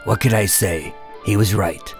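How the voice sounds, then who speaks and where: A man playing an undercover agent in a radio drama, telling his story with deadpan, wry tension. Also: on the low side, a little slow, faint music behind. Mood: tense, wry. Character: wry, nervous undercover agent posing as mob enforcer. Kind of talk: first-person noir radio drama narration and dialogue.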